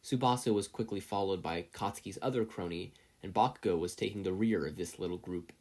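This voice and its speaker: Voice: low-pitched, a little fast, darkish tone. A narrator reading scripted fiction aloud as an audiobook-style story. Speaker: podfic narrator.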